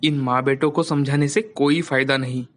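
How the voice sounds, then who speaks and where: A man's voice: mid-range voice, steady tone, normal speed, faint music behind, natural voice. An actor playing a mild, resigned husband and father in a moral story, earnest and patient.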